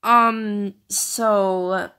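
Tired, rambling young woman, slow talker. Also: medium pitch, steady tone, pushed and bright, clear, resonant tone. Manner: rambling, with many fillers. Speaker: amateur comic dubber. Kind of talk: casual chat. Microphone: phone.

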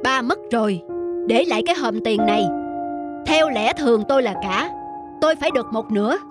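A woman plays a grown son in a cartoon folk tale. He is greedy and argumentative, with a high, animated voice and music underneath. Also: a little fast, natural voice. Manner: grasping, argumentative. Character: greedy, quarrelsome adult son squabbling over inheritance.

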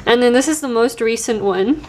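A woman's voice: fairly high pitch, moderate pace, a little hiss or hum. A woman in her twenties chatting casually and self-deprecatingly as she shows her study notebooks, laughing now and then. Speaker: language learner vlogger. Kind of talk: casual show-and-tell narration.

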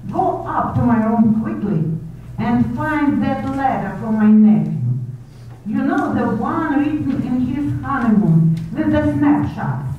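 A woman's voice: high-pitched, animated, measured pace. A woman playing a bossy, gushing older society lady in 1930s radio drama style. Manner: gushing, imperious, theatrical. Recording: echoey hall from far off, muffled, hiss.

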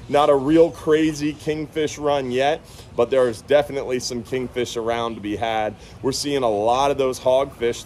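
An upbeat man in his thirties giving a casual fishing report to a phone held at arm's length. He is outdoors on a sunny beach with a faint hiss of wind and traffic behind him. His voice sits at an ordinary middle pitch. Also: darkish tone. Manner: upbeat, talks straight to camera, repeats words for emphasis. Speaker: marina fishing report host. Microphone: phone camera at arm's length.

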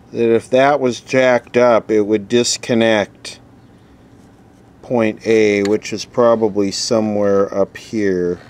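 A man giving casual, off-the-cuff repair commentary, muttering and thinking aloud as he works. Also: on the low side. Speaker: host repairing vintage electronics on camera. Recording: steady background noise. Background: steady background noise.

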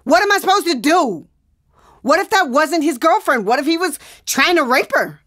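Anxious, urgent young wife, dry studio sound. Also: female voice, high voice, animated, normal speed, fairly bright tone, no echo. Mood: agitated, defensive. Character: anxious, defensive pregnant young wife, pleading with her husband. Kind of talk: naturalistic audio drama acting.

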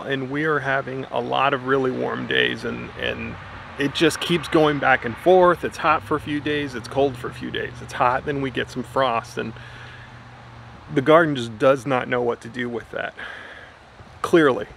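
A man in his thirties talks to a handheld camera in his backyard garden, chatty and a little worried as he thinks aloud. His voice sits at an ordinary pitch with a gravelly edge. A faint outdoor hum with distant traffic runs underneath. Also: bright, forceful tone. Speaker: home gardener vlogger. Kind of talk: casual garden vlog. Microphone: camera held at arm's length, outdoors.

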